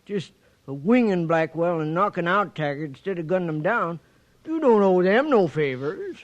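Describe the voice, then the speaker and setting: A man playing a folksy, excitable old cowhand sidekick who repeats himself, in a 1950s radio Western. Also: high voice, moderate pace, muffled recording. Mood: pleased, curious.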